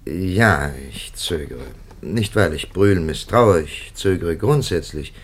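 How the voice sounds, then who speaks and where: An actor playing a grave, hesitant, philosophical prelate in a 1950s radio play, speaking in a halting, deliberate way. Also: male voice, low voice, lively intonation, exaggerated voice. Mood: hesitant, reflective.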